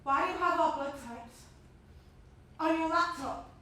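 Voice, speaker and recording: A woman's voice: high voice, a little slow, sharp tone. A young woman acting a defiant, agitated character in a stage drama, accusing and confrontational in clipped phrases. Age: young adult. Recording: very echoey stage, distant mic.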